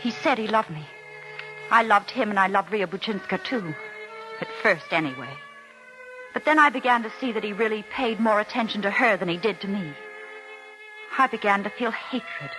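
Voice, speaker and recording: A woman's voice: medium pitch, lively intonation, moderate pace, over music, close to an everyday voice, rough recording. A woman playing a proud, bitter, wronged wife in a 1940s radio drama, with intense, theatrical delivery. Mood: bitter, confessing.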